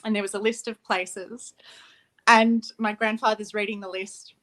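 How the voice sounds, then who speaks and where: A woman's voice: mid-range voice, little pitch movement, moderate pace, rough recording. A young woman from Australia, a film director talking about her work as an interview guest, reflective and laughing easily. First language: Ukrainian. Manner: warm, reflective, laughs easily, restarts phrases.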